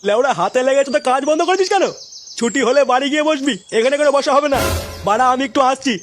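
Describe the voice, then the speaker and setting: A man's voice: very high-pitched, fast talker, music in the background. A man voicing a bullying, lecherous old factory boss in a comic cartoon, with a coarse, scolding manner. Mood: threatening. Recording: loud noise behind the voice.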